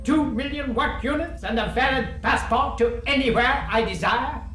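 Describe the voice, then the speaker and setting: A man playing a weary, defiant male prisoner in an amateur audio drama, delivering his lines slowly and sardonically. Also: very high voice, fairly bright tone, soft background music. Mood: sardonic. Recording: echoey room.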